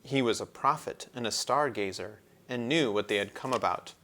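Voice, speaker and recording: A man's voice: mid-range voice, moderate pace, bright, forceful tone. A man narrating a children's storybook as a read-aloud. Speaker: storybook reader.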